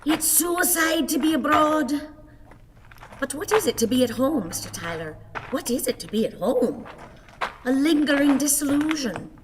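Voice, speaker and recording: A woman's voice: sits high, expressive, moderate pace. Woman playing a querulous old wife, swooping and reverberant. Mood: agitated, gloomy. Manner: theatrical, complaining, exclamatory. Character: weary, querulous old Irish wife, gloomy and dramatic. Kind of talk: radio play acting. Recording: hissy, reverberant hall recording.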